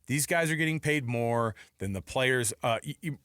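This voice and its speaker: A middle-aged man delivers opinionated sports radio talk in a close, dry sound. His pitch sits in the middle, and he drives points home with repetition and rhetorical questions. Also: moderate pace, fairly bright tone, no echo.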